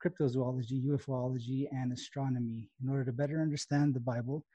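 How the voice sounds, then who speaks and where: A man's voice: medium pitch, level tone, quick pace, mellow, husky, dry recording, lo-fi sound. A man from West Texas, hosting an interview in a loose, filler-heavy way. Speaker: podcast host. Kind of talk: casual interview talk.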